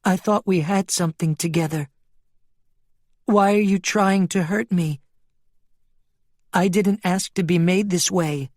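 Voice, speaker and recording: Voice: medium pitch, unhurried, long pauses, a little mellow, no room sound, clean studio sound, pitched above the performer's own voice, lightly acted. A narrator voicing an earnest, pleading female sheep with a woman's mind in an audiobook story. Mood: puzzled, hurt.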